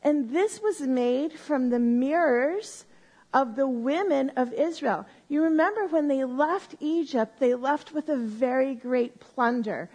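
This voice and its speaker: A woman teaching a Bible lesson to a live group on a microphone, explaining slowly and step by step and often checking in with "right?". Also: sits high, lively intonation. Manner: says she has a loud voice. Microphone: handheld or stand mic.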